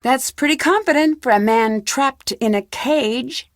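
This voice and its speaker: A woman playing a self-possessed young female detective in a video game, speaking in a composed, clearly enunciated way. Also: high-pitched, unhurried, no echo, clean studio sound. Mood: defiant.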